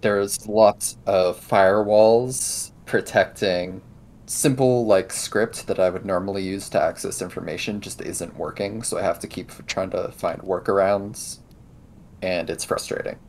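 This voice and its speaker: Very low-voiced man narrating in roleplay. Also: fairly even intonation, unhurried, darkish tone, slight background noise. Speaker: tabletop roleplaying player. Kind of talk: improvised first-person in-game narration.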